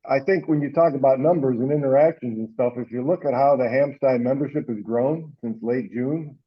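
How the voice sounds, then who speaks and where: A man's voice: mid-range voice, fairly quick, breathy edge. A man giving an informal, rambling, folksy update to a group on an online call. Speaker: presenter on a hobbyist teleconference. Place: online teleconference. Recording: dull, lo-fi conference call audio.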